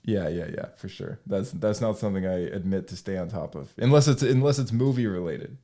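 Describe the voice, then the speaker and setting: A man's voice: fairly low pitch, fairly quick, warm tone. A man hosting a casual podcast chat, with a hesitant, meandering way of talking. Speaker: podcast host.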